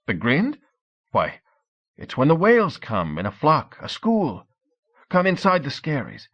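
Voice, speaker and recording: A man's voice: medium pitch, normal speed, muffled recording, voice pushed up, close to an everyday voice. A man voicing a cheerful, eager young Norse man with a thick accent. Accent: Norse-accented English. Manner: excited, eager.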